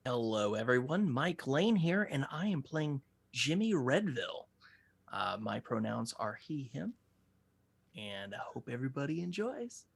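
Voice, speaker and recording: Casting: man, gravelly husky voice, animated pitch, mild and friendly. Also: a little slow. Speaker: tabletop game player. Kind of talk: casual chat.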